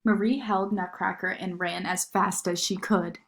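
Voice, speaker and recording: A woman narrating a children's story in an expressive read-aloud style. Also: medium pitch, normal speed.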